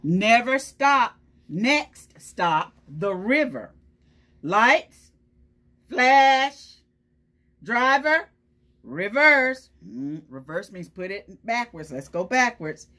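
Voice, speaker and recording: A woman voicing a boastful, loud garbage truck from a children's storybook, brash and proud. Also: fairly high pitch, sing-song, extremely slow, voice pushed up, heavily acted. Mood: bossy. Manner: brash, boastful, shouting orders.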